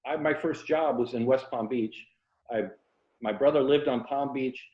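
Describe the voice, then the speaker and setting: An American man talks casually as an interview guest, telling stories in a reflective, self-deprecating way. His voice sits at an average pitch. The room is clearly echoey and the sound is a little dull and lo-fi. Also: normal speed, darkish tone. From Florida, USA. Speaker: interview guest, fiction writer and former journalist. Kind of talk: casual interview conversation about writing.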